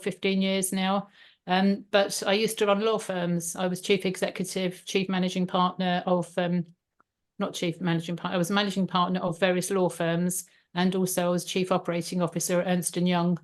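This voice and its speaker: Chatty British woman on a video call. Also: lowish voice. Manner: brisk, chatty, many ums and restarts. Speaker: presenter.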